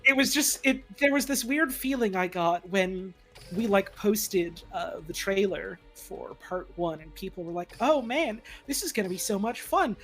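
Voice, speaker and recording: A mid-pitched voice in casual, rambling stream chat. The speech is halting and often acts out quoted thoughts, with music playing behind it. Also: fairly bright tone. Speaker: fandub voice performer on a livestream. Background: background music.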